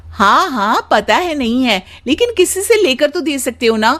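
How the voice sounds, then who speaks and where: A woman's voice: high voice, big pitch swings, moderate pace, fairly bright tone, pitched above the performer's own voice. A woman voicing a demanding wife in a cartoon story, wheedling and insistent. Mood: coaxing. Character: demanding, coaxing young wife, shrill and nagging.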